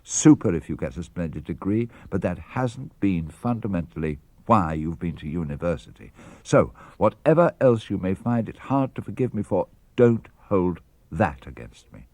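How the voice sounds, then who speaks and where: A man reading personal letters aloud for a radio broadcast, in a measured, earnest, fatherly manner. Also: low-pitched, sing-song, darkish tone, no echo. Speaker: reader of letters. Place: radio studio.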